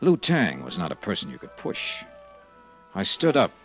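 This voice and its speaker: An actor playing a tough, shrewd American insurance investigator in a 1950s radio drama, clipped and wry in manner. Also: male voice, low-pitched, huge pitch swings, normal speed, a bit bright, faint music behind, full character voice. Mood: reflective narrating. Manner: clipped, hard-boiled, wry. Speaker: lead actor and narrator of a radio detective serial. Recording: muffled 1950s radio recording.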